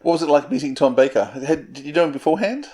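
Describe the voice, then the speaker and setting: A man's voice: fairly high pitch, animated, fast talker, darkish tone. A man speaking as an interviewer, in a conversational style with analytical, exploratory phrasing.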